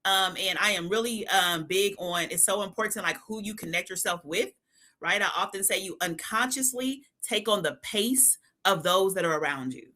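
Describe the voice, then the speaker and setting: A woman's voice: mid-range voice, normal speed, sharp tone. A woman from East Oakland, co-hosting a live chat energetically, laughing often and repeating her points. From East Oakland, California. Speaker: financial psychologist, co-host.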